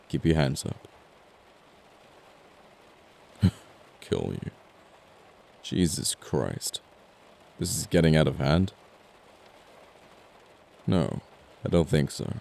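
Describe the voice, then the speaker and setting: A man playing an amoral, flirty murderer in an ASMR audio roleplay, speaking in a gravelly, deliberate, sardonic way with pauses between threats. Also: a bass voice, warm tone, full character voice. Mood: threatening, wry. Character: amoral, flirty, apologetic male murderer, coolly menacing.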